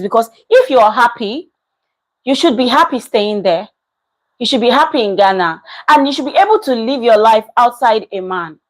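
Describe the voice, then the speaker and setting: A woman talks through a casual reaction commentary, leaning hard on her points and going back to them. Her voice sits on the higher side. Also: low-quality recording. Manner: animated, emphatic, repeats herself. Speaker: commentary vlogger.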